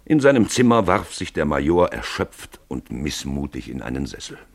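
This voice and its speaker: A man with a deep, husky voice narrates a literary radio play. His delivery is theatrical, with wide swings of intonation. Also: normal speed, no echo. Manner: expressive, theatrical storytelling.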